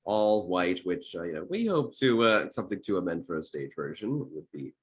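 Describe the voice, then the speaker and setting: A man chatting casually on a panel, haltingly, circling back over his phrases as he goes. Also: low-pitched, very animated, breathy edge.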